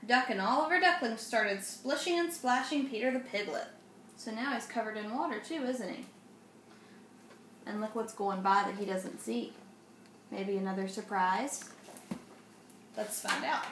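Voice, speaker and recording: A young woman with a fairly high voice speaks to children as a storyteller and presenter. She is recorded in a roomy home space with clear noise. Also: lively intonation, unhurried, pauses often, a bit bright. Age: young adult. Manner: child-directed, asks the listener questions. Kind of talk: children's read-aloud and simple instruction. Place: indoors, home dining room.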